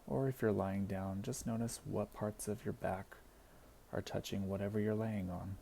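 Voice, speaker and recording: A man with a deep, mellow voice guides a meditation. He gives soothing, gentle instructions and leaves deliberate pauses between phrases. His tone has a noticeable fry, and there is faint noise in the recording. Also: fairly even intonation. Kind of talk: guided body scan meditation.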